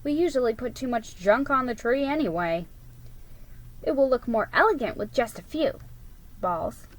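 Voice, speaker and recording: A woman's voice: on the high side, animated, moderate pace, pitched above the performer's own voice. A woman voicing a haughty, fussy older girl in a storybook, scornful and prim in manner. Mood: dismissive.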